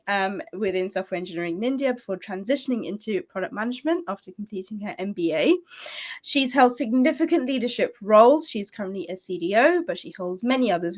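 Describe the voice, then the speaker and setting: A woman hosts a podcast and introduces her guest at length. Her voice sits in a middle register. She sounds effusive and friendly as she chats. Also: mid-range voice. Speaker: podcast host. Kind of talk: podcast interview.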